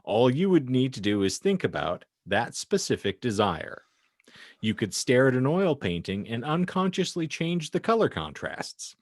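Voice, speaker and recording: A man's voice: medium pitch, sing-song, moderate pace, no echo, clean studio sound. A man hosting a podcast, moving between scripted narration and casual chat with theatrical, wordy phrasing. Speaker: podcast host.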